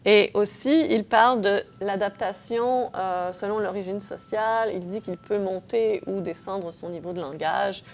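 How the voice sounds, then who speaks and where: A woman's voice: mid-range voice, a little slow, few pauses. A woman professor giving an academic lecture, explaining patiently with frequent hesitations and checks of her audience. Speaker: linguistics professor.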